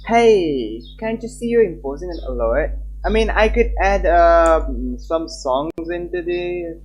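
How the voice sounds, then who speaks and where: A man's voice: sits high, animated, unhurried. A young man chatting casually on a game livestream and reading story text aloud, loose and repetitive in his talk. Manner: chatty, loose, repeats himself. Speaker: livestreamer, host. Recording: dull lo-fi mic with background music. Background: game background music.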